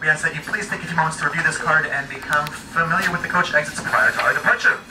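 A male train attendant races through a joking safety talk in a fairly high voice. He sounds well away from the mic, in an echoey coach, with loud train rumble all around him. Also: quick pace, far from the mic. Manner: playful, showman-like. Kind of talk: safety announcement with jokes. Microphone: far camera.

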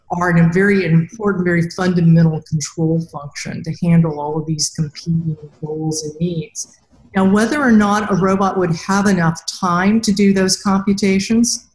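A middle-aged woman hosting a podcast interview on a video call, talking casually about robotics and acting out quotes lively. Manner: animated, acts out quotes with big pitch jumps. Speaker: podcast host and robotics researcher. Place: small room with a plain wall. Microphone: headset mic on a video call. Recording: echoey room.

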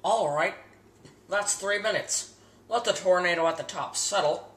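Deadpan teen boy hosting a mock cooking show. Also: sits high, a little slow, noisy background. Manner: earnest instructional tone with absurd steps. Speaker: host of a home cooking parody. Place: home kitchen, indoors. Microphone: camera mic across the kitchen. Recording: echoey kitchen, camera a bit far.

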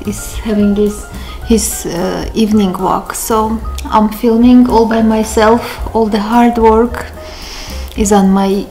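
An Armenian-born woman hosts a home cooking show, her voice sitting a little high. She chats loosely, restarts phrases and laughs at her own slips. The big kitchen adds a roomy echo, and light music plays beneath. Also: dark tone. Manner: chatty, rambling, self-correcting, laughs at herself. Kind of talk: casual cooking demonstration. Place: large bright home kitchen. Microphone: handheld camera close up, then camera on counter.

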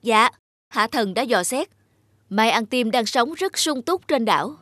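A woman's voice: fairly high pitch, animated, measured pace, a bit bright. Woman as an eager, deferential royal servant man. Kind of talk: cartoon fairy tale character voice.

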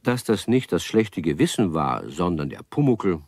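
A man's voice: low voice, big pitch swings, moderate pace, darkish tone, no room sound, studio quality, full character voice. A man playing the amused narrator of a children's radio play, telling the story in a scripted storybook style.

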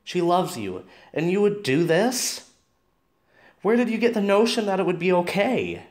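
A man's voice: high-pitched, sing-song, measured pace. A man playing a dry, principled teenage boyfriend in an amateur audio drama. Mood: indignant.

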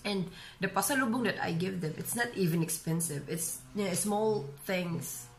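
A woman's voice: mid-range voice, moderate pace. A woman in her thirties from the Philippines with a Filipino accent, chatting casually to the camera in a room at home and filling her talk with "you know". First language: Visaya. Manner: chatty, many "you know" fillers and restarts. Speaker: vlogger. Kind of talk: casual vlog chat, reading comments. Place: bright room at home, indoors.

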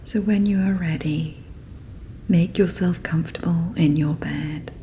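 A woman narrating a guided sleep meditation in a soft, breathy, whispery, soothing manner. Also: on the low side, fairly even intonation, a little slow, a little hiss or hum.

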